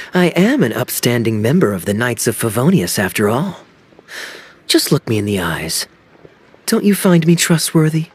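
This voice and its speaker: An actor playing a sly, charming male knight captain in a video game, smooth and teasing. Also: medium pitch, big pitch swings, a little slow, pauses often. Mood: playful.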